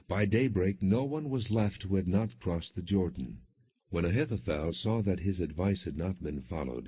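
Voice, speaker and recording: A man reading scripture as an audiobook narrator, in measured, clearly enunciated storytelling without dramatization. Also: low voice. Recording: muffled, phone-like lo-fi audio.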